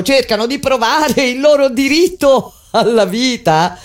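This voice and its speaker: A woman acting a grave resistance fighter in a theatrical monologue, with solemn, deliberate phrasing and weighty pauses. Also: fairly low pitch, a little mellow. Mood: tense, grave. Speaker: stage actress. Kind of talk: dramatic theatrical monologue over music. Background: live music with guitar.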